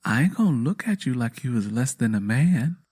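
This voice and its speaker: A man voicing an earnest, compassionate Southern woman in an audio drama, speaking softly and persistently. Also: medium pitch, expressive, brisk, husky. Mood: gentle, concerned. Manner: soft, pleading, sweet.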